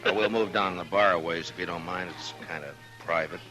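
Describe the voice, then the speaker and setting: An actor playing a stern, fed-up marshal in a 1950s radio western, blunt and challenging. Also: male voice, low voice, fairly even intonation, fairly quick, lightly acted. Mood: hard, confrontational. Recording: vintage radio drama with loud music.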